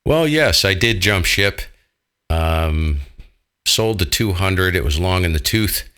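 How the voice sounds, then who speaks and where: An American man with a very deep voice and a bright, forceful edge. He talks like a ham radio operator in casual conversation, blunt, jokey and full of colloquial turns. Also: animated, takes it slowly, clear, resonant tone. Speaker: amateur radio operator, channel host.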